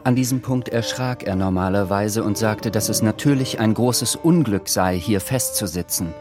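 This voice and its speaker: An actor playing a dry, understated narrator who recounts events in a literary radio drama. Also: male voice, lowish voice, moderate pace, over music, close to an everyday voice. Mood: matter-of-fact.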